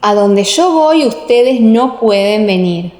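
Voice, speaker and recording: A woman's voice: mid-range voice, expressive, takes it slowly, darkish tone. A woman voicing Jesus as a solemn, authoritative teacher in an audiobook radio play. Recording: echoey, long reverb.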